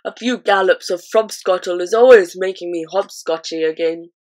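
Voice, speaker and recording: A woman's voice: on the low side, moderate pace, a little mellow, close to an everyday voice. Give me a kindly big giant with jumbled grammar and made-up words, a woman putting him on. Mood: gentle.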